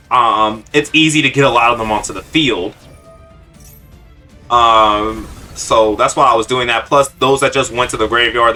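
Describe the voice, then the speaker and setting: Young male channel host, casual game commentary, rambling and filler-heavy, bright tone. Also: medium pitch.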